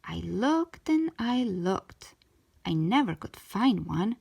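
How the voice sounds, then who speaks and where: A woman's voice: mid-range voice, very animated, takes it slowly, creaky, warm tone, breathy edge, heavily acted. A woman voicing a firm, exasperated but caring mother in a children's storybook. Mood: matter-of-fact. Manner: firm, emphatic.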